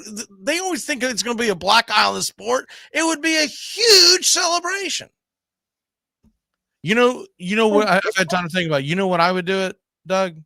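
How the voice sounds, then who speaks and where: Bright-voiced man hosting casual sports talk. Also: sits high, vocal fry, no echo, studio quality. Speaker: sports show host. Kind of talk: casual live sports chat.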